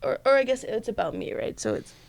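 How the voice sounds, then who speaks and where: A young woman co-hosting a comedy podcast, teasing and firing back quick comebacks. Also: fairly high pitch, sing-song, vocal fry, a little hiss or hum. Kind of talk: casual banter.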